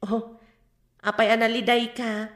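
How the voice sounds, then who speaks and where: An actress playing a chatty woman friend, coaxing and expressive, in a radio drama. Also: fairly high pitch, a little slow, lightly acted. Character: chatty, nudging woman, the mother's close friend. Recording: roomy, echoing drama recording.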